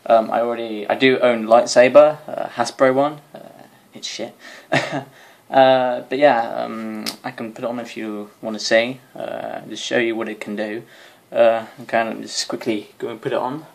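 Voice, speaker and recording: A man's voice: medium pitch, steady tone, moderate pace, vocal fry, hardly any pauses. A young man giving a casual, rambling toy demonstration to camera, sounding tired, recorded on a mobile in a bedroom. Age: young adult. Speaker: vlogger. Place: small bedroom. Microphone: phone or camera at arm's length. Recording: faint noise, clicks, mobile recording.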